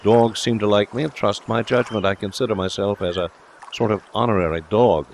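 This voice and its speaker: A man voicing a confident, precise and breezy young gentleman in an audiobook story. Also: fairly low pitch, fairly even intonation, brisk, slight background noise, lightly acted. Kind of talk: dramatised audiobook dialogue.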